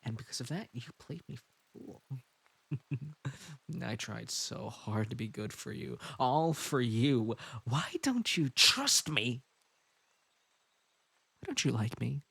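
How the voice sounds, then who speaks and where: A man's voice: mid-range voice, lively intonation, slow, gravelly, pauses often, breathy edge, heavily acted. A man playing a giggling, obsessive bunny spirit. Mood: wounded. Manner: soft coaxing delivery broken by giggles and sudden outbursts. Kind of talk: audio roleplay drama.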